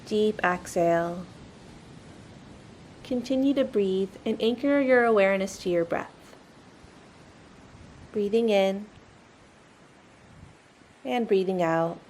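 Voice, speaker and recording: A woman's voice: medium pitch, slow pace, long pauses, slight background noise. A woman leading a guided meditation in a soothing, instructional manner.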